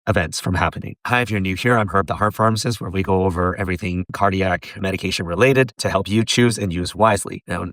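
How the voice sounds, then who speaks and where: A man with a hoarse voice from laryngitis, giving a teacherly tutorial and posing questions for the viewer to pause on. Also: sits low, a bit bright, no echo. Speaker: pharmacist and educator. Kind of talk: tutorial lecture.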